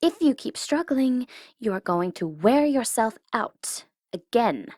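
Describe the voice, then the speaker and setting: A smug, gloating tsundere villainess performed by a woman for an audio roleplay. Her voice sits fairly high and she takes her time, teasing and taunting theatrically, sometimes sinking toward a whisper. The sound is dry and close. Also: big pitch swings, slow, a little mellow, dry recording, exaggerated voice. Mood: impatient, teasing. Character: smug tsundere female supervillain gloating over her captive hero.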